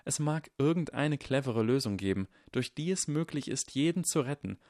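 Audiobook role: earnest, burdened boy with a strained, expressive voice, played by a man. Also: medium pitch, moderate pace, no echo, clean studio sound, voice pushed up, natural voice. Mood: wistful. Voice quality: strained, sometimes hoarse. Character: anguished, burdened young boy hero, earnest and guilt-ridden.

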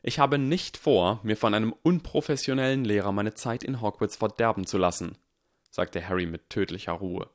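A defiant young schoolboy wizard talks with a clipped, deadly calm, as a male narrator puts him on for an audiobook. The voice sits higher and louder than the narration around it. It is recorded close and dry. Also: normal speed, dry recording, lightly acted.

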